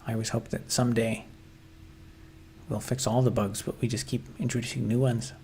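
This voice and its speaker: A man with a tired, slightly hoarse voice, chatting casually on a livestream about his coding project. He clears his throat often and talks hesitantly with lots of fillers. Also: mid-range voice, little pitch movement, brisk, a little mellow, slight background noise. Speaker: software developer livestreaming. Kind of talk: casual livestream chat, answering viewers.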